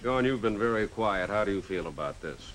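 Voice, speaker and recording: An actor playing a civic-minded small-town mayor in a 1950s film drama, earnest, folksy and persuasive on an old soundtrack. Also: male voice, lowish voice, expressive, fairly quick, a bit bright, slight background noise. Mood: sober.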